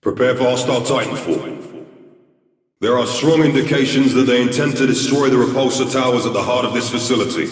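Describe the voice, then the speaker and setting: A man's voice: medium pitch, echoey room, distant mic, natural voice. A man voicing a stern, middle-aged military vice admiral in a video game, giving clipped, formal orders with authority. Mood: rallying, forceful. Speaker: military commander.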